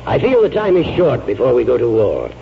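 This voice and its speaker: A man acting a stern, self-righteous man in his sixties in a 1940s radio drama, with creaky, formal delivery. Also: medium pitch, wildly swooping pitch, brisk. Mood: brisk, proud. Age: 60s. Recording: muffled 1940s radio, faint noise.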